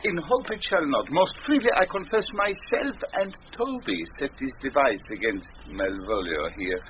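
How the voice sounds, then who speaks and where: An actor playing a courteous, mischievous household servant, earnest and conciliatory, in classical radio drama. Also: male voice, medium pitch, expressive, moderate pace, lightly acted. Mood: earnest, placating. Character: mischievous, appeasing gentleman servant confessing a prank. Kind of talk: classical Shakespearean radio acting. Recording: dull, faintly noisy old radio broadcast.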